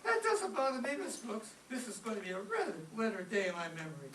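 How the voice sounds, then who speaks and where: Mischievous, eager high school boy played by an actor for radio comedy; animated swings, roomy far-off stage sound. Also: brisk. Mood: cheerful. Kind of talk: old-time radio comedy acting. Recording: distant echoey stage with clear noise.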